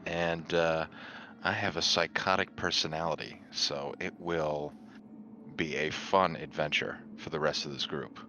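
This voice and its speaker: Male tabletop player, very deep yet bright, punchy tone, casual introduction, faint noise behind. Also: steady tone, a little slow, highs cut off. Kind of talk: casual chat introducing a character.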